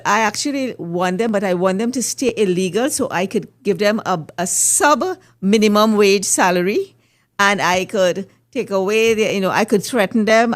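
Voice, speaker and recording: A middle-aged woman with a Trinidadian accent, hosting a current affairs discussion in a measured, questioning way. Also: mid-range voice. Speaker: host.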